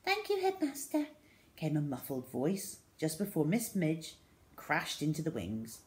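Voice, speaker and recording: A woman's voice: low voice, lively intonation, measured pace, a little mellow. A middle-aged woman reading a children's story aloud expressively. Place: small room indoors at home.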